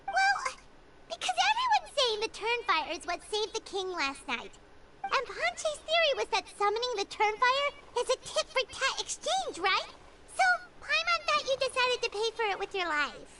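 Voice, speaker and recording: A woman voicing a tiny, excitable floating companion who talks about herself in the third person, in a game. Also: normal speed, very noisy. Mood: earnest. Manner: refers to herself in the third person, high and bouncy. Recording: game soundtrack playing behind. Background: game music.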